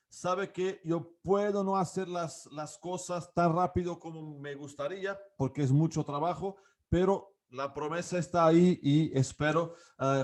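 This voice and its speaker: A man's voice: a little high, darkish tone. Portuguese-accented man teaching in Spanish. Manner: hesitant, many fillers and restarts. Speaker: karate coach and academy founder. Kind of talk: online class talk to camera.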